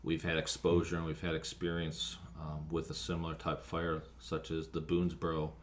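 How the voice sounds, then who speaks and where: An American man, a fire officer being interviewed, recounting events haltingly with frequent ums and pauses. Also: rumbling low, monotone, slight background noise. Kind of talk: spoken account of an emergency call, interview.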